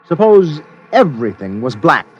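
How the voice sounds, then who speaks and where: A probing reporter in a 1950s radio drama. He is earnest and polite, with short questions, a slightly raised pitch and lively inflection. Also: normal speed, highs cut off, a little hiss or hum. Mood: inquisitive.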